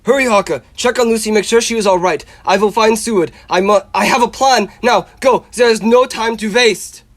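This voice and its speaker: A man's voice: sits high, moderate pace, fairly bright tone. A young man playing a resolute, urgent vampire-hunting professor, formal and earnest, in a radio drama. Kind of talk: stage-style radio drama acting.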